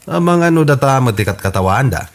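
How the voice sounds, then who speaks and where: A man plays a scheming old husband next door in an Ilocano radio comedy. His Filipino voice has an ordinary male pitch. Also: expressive, quick pace, natural voice.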